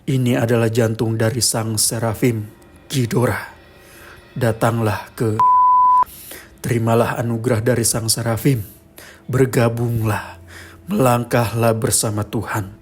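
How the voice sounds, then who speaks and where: A man voicing a solemn cult preacher in a horror television broadcast, slow, grave and proclaiming. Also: mid-range voice, flat delivery, darkish tone, breathy edge, soft background music, pitched below the performer's own voice. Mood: solemn, urging.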